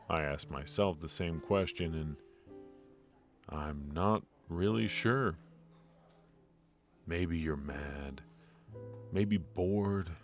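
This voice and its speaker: A man acts out a ruthless mafia boss with a tender, wry side for an ASMR roleplay. His voice is extremely deep and the delivery is slow, halting and intimate. Music plays behind him.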